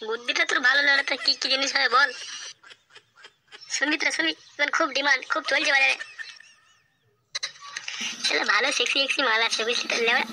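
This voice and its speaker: Cartoon casting: woman as cheeky Bengali young schemer, quick-talking and creaky, crude and boastful, music underneath. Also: high voice, noisy background. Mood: eager, boastful. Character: foul-mouthed scheming young slacker, eager and cheeky. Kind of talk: comedy cartoon voice dub.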